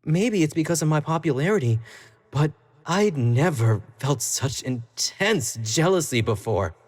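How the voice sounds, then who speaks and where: Man acting an obsessive, theatrical young idol, wildly swooping pitch. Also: darkish tone. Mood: jealous recollection. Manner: theatrical, swinging between sweet pleading and cold condescension. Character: obsessive young male pop idol, jealous, clingy, menacing kidnapper. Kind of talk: dramatic roleplay monologue spoken intimately to the listener.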